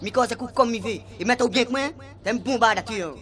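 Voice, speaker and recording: A man's voice: very high-pitched, fast talker, a bit bright. A man playing a cheeky, mocking Saint Peter at heaven's reception in a radio soap, with a Réunion accent. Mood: mocking, combative. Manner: colloquial, mocking. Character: cheeky, unimpressed Saint Peter, gatekeeper of paradise. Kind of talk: radio soap comic acting.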